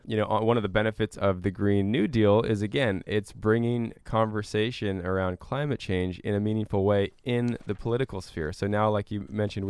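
A man speaking as an interview guest, explaining step by step in a measured, conversational way with careful qualifications. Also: fairly low pitch, clean tone, no echo. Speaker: interview guest, climate advocate.

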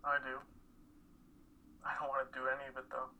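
A man's voice: mid-range voice, completely flat delivery, fairly quick, pushed and bright, slight background noise. A young man playing a cynical, dismissive, grieving college student in a dramatic play reading. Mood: glum. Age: young adult.

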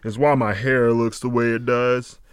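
A man in his late twenties chatting casually as an interview guest, halting and meandering as he reflects. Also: mid-range voice, little pitch movement, smooth, no room sound, clean studio sound. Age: late 20s. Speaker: interview guest, music artist. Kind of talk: casual chat.

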